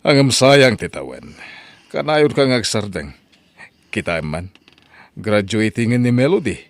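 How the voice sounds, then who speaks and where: A man's voice: lowish voice, big pitch swings, unhurried, no room sound. A man voicing a stern middle-aged father who scolds and lectures his daughter. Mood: scolding. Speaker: voice actor. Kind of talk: audio drama acting.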